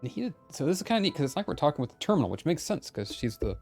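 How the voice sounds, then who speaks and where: A mellow-voiced streamer chats casually through a live game session, reading along and reacting. The pitch is very lively and animated, and faint game music plays behind. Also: racing.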